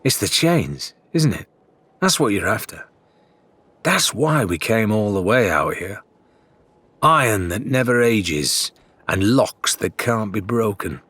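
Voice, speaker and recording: A man's voice: fairly low pitch, sing-song, fairly bright tone, husky, dry recording, heavily acted. A man voicing a practical pirate crewman and smith in an audiobook, speaking in a thoughtful manner. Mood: slow realization. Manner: slow, thoughtful.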